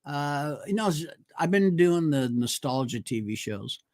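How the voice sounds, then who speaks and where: A man's voice: on the high side, lively intonation, a little slow, a little mellow, no echo, studio quality. A man co-hosting a casual talk show, speaking hesitantly with many fillers as he reaches for names. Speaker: co-host. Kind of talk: casual chat. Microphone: studio mic on a live stream.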